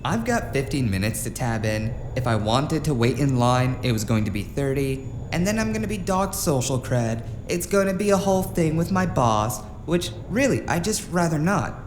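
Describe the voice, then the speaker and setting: A wry, rambling office drone voiced by a man with a very lively, swinging intonation. He stays chatty and unflappable in this audio drama, in an echoey space over noisy ambience. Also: mid-range voice, normal speed, hardly any pauses, close to an everyday voice. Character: chatty, unflappable wry male office worker named Guy. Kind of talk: noir audio drama dialogue. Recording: roomy echo, clear background ambience noise.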